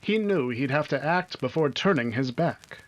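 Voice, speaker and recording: High-voiced, dramatic radio serial narrator played by a man. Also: lively intonation, moderate pace, natural voice. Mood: suspenseful. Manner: urgent, emphatic storytelling. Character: dramatic male announcer narrating a frontier adventure. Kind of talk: old-time radio scripted narration.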